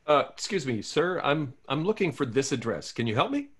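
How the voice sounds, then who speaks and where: A man's voice: fairly high pitch, sing-song, a little fast, breathy edge. A man reading a hopeless romantic lead at a table read, with a sheepish, self-pitying comic delivery.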